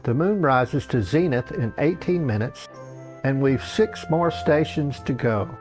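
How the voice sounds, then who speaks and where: A man's voice: medium pitch, animated, a little slow, music in the background, lightly acted. A man voicing an earnest, deferential young acolyte with a polite, obliging manner in a comic fantasy story. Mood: brisk, urging.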